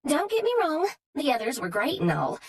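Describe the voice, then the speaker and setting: A woman's voice: high-pitched, moderate pace, pitched above the performer's own voice, exaggerated voice. Woman doing a gushing Southern gal. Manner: gushing, excitable, chatty. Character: bubbly gushing Southern woman, early thirties.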